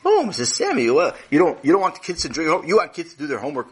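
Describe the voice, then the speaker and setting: A man's voice: on the high side, huge pitch swings, racing, breathy edge, full character voice. A man voicing an eager, chatty male teacher who rambles effusively and lectures. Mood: lecturing. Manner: effusive, rambling, talks over others.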